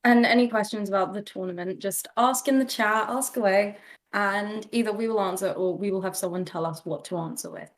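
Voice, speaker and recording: A female esports co-commentator speaks in a casual, conversational way. Her voice sits at a middle pitch, as in relaxed livestream casting between two hosts. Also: brisk.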